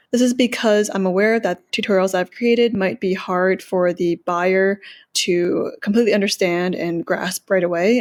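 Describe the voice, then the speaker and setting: A woman's voice: medium pitch, darkish tone. A woman selling her business, giving earnest, well-organized answers in a podcast interview and laying out her points one by one. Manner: self-promoting, proud of her work. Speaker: business seller.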